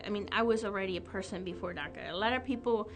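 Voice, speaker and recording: A woman's voice: medium pitch, quick pace. Woman in her thirties, mother, determined and plainspoken, documentary interview, music behind. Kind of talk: documentary testimony.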